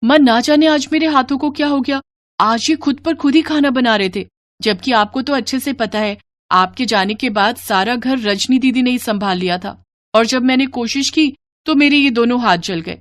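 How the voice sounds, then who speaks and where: A woman's voice: a little high, moderate pace, no room sound, studio quality, pitched above the performer's own voice, lightly acted. A woman voicing a timid, humble young bride in a moral story, earnest and fretful in manner. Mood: astonished, fretful.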